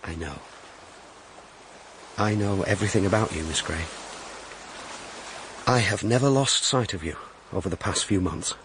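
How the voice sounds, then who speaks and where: An actor playing a grave, gentle Victorian clergyman in a radio drama, formal, deliberate and reserved as he courts. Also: low voice, noisy background. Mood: quietly tender. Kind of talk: period radio drama acting.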